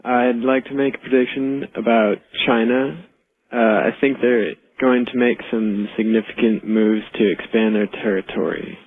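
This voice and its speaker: Husky American man on the phone. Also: mid-range voice, steady tone, moderate pace, dry recording. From Idaho, United States. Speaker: radio show caller. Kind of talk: casual call-in chat. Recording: dry narrow phone line on radio.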